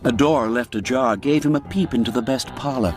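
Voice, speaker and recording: A man narrating a classic literary tale for radio in a measured, expressive, theatrical way. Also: mid-range voice, faint music behind. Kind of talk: dramatized literary storytelling for radio.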